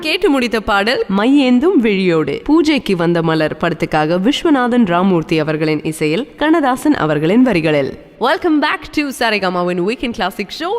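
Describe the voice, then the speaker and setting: A woman with a Tamil accent hosting a radio show in a chatty, storytelling way, mixing in some English. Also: mid-range voice. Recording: long reverb on the voice.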